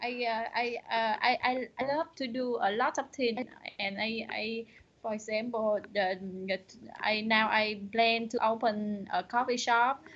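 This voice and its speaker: A woman with a Vietnamese accent speaks slowly and haltingly, reaching for English words and often restarting her sentences. Her voice sits fairly high, and the call audio sounds a little dull and lo-fi. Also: steady tone, a bit bright. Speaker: student. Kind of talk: online English conversation lesson.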